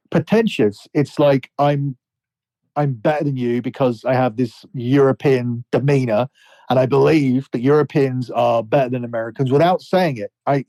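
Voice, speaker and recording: A man with a mellow, warm mid-range voice breaks down a wrestler's character in steady, explanatory talk. He is close and dry on the mic, in a relaxed podcast setting. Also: medium pitch, no echo, rough recording. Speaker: podcast co-host. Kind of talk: casual wrestling analysis.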